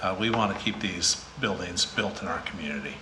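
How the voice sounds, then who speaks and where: A man's voice: low voice, fairly quick, pushed and bright. A man from the school district explaining a decision matter-of-factly at a public meeting. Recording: echoey room with background noise.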